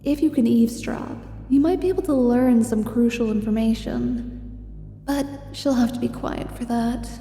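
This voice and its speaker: A woman's voice: on the high side, normal speed, mellow, roomy sound, big-room sound, faint music behind. A woman reading scripted second-person narration for an ASMR fantasy roleplay.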